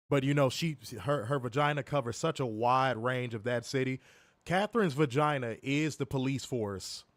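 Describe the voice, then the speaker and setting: Young male stream host, hoarse, profane and joking, mid pitch, dry close mic. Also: no echo. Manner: profane, joking, talks straight to viewers. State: hoarse, recovering from illness. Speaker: co-host of a wrestling review stream. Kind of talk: casual live-stream chat. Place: home, in Chicago.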